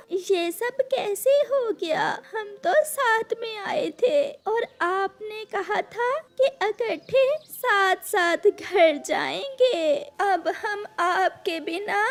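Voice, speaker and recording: A woman voicing a gentle mother bird, plaintive and grieving, in an animated story. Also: very high voice, voice pushed up, exaggerated voice. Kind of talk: Hindi animated story dialogue.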